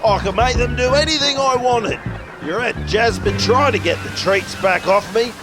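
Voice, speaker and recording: A woman's voice: on the high side, normal speed, over loud music, close to an everyday voice. A woman playing a gloating, greedy villainous general manager in a melodramatic radio play, boastful and scheming. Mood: smug, accusing.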